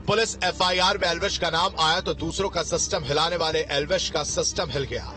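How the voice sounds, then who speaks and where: A man's voice: fairly high pitch, fairly even intonation, fairly quick. A man narrating a scripted news report in a dramatic, emphatic, sensational voice-over style. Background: loud music bed.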